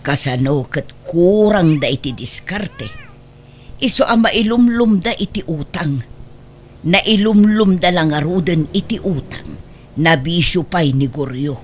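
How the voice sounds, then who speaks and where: Low-voiced, reproachful Filipino grandmother. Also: lively intonation, a little slow. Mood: sad, pleading. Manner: scolding, lamenting. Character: older worried Ilocano mother and grandmother, reproachful. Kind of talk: Ilocano radio drama acting.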